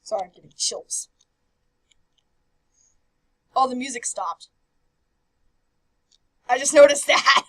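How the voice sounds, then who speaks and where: A woman hosting a game playthrough, reading visual novel text aloud with animated, casual reactions and stumbling over words now and then. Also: high-pitched, slow pace, long pauses, rough recording. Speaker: host of a video game playthrough. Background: game background music.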